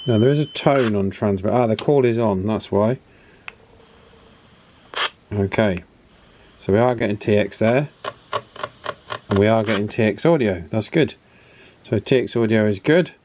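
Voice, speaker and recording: A man giving a casual hands-on repair demonstration as host, unhurried and thinking aloud through each step. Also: lowish voice, darkish tone, a little hiss or hum. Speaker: host of a radio repair channel.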